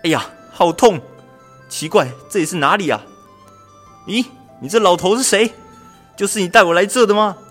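A young man acting a high-voiced, anxious clerk. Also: normal speed, long pauses, a bit bright. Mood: indignant. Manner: exclamatory, pleading. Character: anxious, cheeky, lazy male government clerk in ancient China. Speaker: student voice actor in a radio drama. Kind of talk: amateur radio drama acting. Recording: music under the voice.

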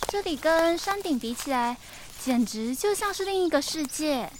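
A woman acting as a bold, curious young female adventurer in an audio drama, lively and impulsive. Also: high voice, normal speed, slight background noise. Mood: curious wonder. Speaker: voice actor.

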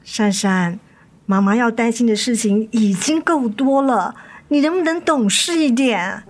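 音调偏高、忧心唠叨的母亲. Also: female voice, on the high side, a little slow. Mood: scolding, worried. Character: worried, weary, nagging mother of two daughters. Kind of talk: radio drama acting.

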